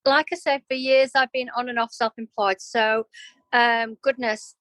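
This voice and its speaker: A woman's voice: fairly high pitch, steady tone, a little slow, fairly bright tone, dry recording. A middle-aged woman with a Yorkshire accent touched by Welsh, chatting openly and expressively as an interview guest. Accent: Yorkshire with some Welsh influence. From Yorkshire and North Wales, UK. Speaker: interview guest, creative business owner. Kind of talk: conversational personal storytelling.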